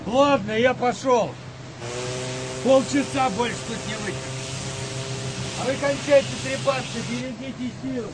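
A no-nonsense wartime sailor in a radio play. He is laconic and practical, his voice high for a man, under very loud engine-like noise. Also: a little slow, pauses often. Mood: brisk, commanding. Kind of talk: audio play acting.

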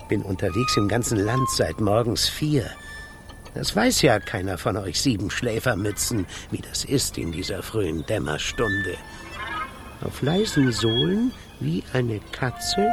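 Slow, gloating chicken thief. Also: male voice, medium pitch, gravelly, heavy background noise. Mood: grumbling, hungry. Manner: savouring, theatrical, self-satisfied. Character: gloating, greedy male chicken thief and tramp. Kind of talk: children's radio play acting.

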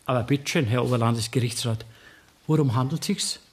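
An actor playing a deferential court secretary in a radio play, speaking in a formal, obsequious manner. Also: male voice, medium pitch, a little fast, close to an everyday voice. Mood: eager deference.

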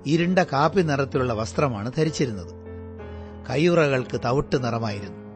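Detective's doctor companion male actor: radio drama, attentive and earnest, medium pitch, careful descriptive delivery. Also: expressive, moderate pace, soft background music, close to an everyday voice. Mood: earnest, observing.

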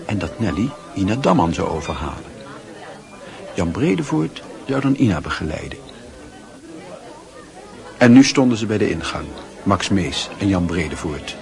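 A man acting as the measured literary storyteller of a Dutch radio drama. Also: lowish voice. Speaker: narrator. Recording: music and crowd murmur behind.

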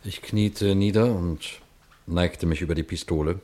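An actor playing a composed, shrewd police inspector who narrates and questions matter-of-factly in a radio crime play. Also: male voice, deep voice, mellow. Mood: measured, observant. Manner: matter-of-fact, measured, inquisitive. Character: composed, shrewd male police detective inspector telling his case. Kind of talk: German radio crime play, first-person narration and dialogue.

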